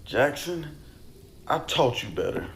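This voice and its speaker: A man's voice: fairly high pitch, big pitch swings, takes it slowly, a bit bright, exaggerated voice. A man playing a stern, disappointed father with a grave manner in a puppet show. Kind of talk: amateur puppet show acting. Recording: roomy echo with faint background noise.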